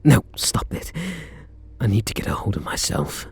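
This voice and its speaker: A British man playing a charming, teasing kidnapper who is softening toward his captive, in an intimate ASMR roleplay. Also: fairly low pitch, big pitch swings, normal speed, vocal fry, breathy edge, exaggerated voice. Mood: conflicted. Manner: teasing, flirtatious, murmuring pet names.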